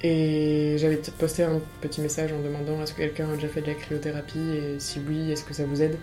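A woman's voice: low-pitched, completely flat delivery, a little fast. A young woman casually sharing her experience as a patient in conversation, with guitar music behind her. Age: young adult. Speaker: patient giving testimony.

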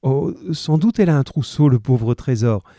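Man voicing an anxious young mother, raised pitch. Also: normal speed, dark tone, no echo, studio quality, natural voice. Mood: indignant, proud. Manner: hesitant, stammering, earnest.

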